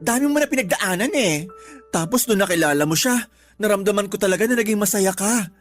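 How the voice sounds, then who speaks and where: A woman's voice: medium pitch, lively intonation, brisk, close to an everyday voice. Woman playing a feisty, protective cousin over music. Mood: protective, fiery. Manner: indignant, emphatic, sassy. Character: feisty, protective female cousin, sharp-tongued and loyal. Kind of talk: Tagalog radio drama acting. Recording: music under the voice.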